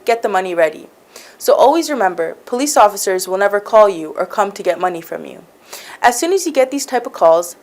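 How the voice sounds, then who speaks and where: A woman host reading scripted community announcements at a measured pace. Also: medium pitch. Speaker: show host.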